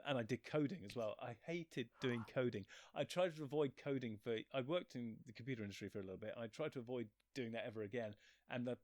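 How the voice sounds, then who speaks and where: A man's voice: mid-range voice, a little mellow, no echo, studio quality. A man chatting casually as an interview guest, rambling and self-deprecating, often breaking off mid-thought. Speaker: physicist and author. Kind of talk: casual chat.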